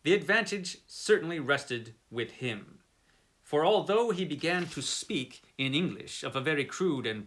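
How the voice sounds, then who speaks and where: A man's voice: on the high side, fairly bright tone. A man reading a classic horror story aloud in a melodramatic, theatrical style. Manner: theatrical, dramatic narration with wide swings in emphasis.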